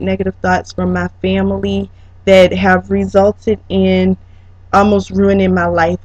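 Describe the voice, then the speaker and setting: A student presenter giving a prepared, reflective slide presentation, speaking haltingly with drawn-out pauses in mid-sentence. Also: medium pitch. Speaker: student presenter, educator training as a counselor. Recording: dull lo-fi sound with room echo.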